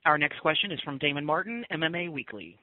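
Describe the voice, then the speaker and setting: A man with a fairly high voice comes through a narrow telephone connection. He moderates a press call, making formal announcements of each questioner. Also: lively intonation, fast, no echo. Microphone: phone. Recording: narrow phone line.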